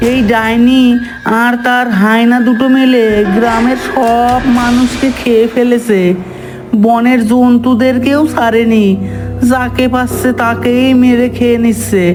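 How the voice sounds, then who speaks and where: An earnest young village man, voiced by a woman for a cartoon, rather high and flat in melody. The delivery is insistent and determined, with music clearly behind it. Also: a little slow, natural voice, low-quality recording. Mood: urgent. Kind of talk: scripted cartoon dialogue.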